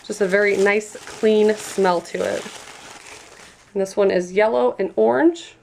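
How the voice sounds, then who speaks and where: Female reviewer, congested and stuffy, friendly enthusiastic unboxing delivery, some gravel in the voice. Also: medium pitch, a little slow, darkish tone, low-quality recording. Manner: chatty and enthusiastic. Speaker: product reviewer.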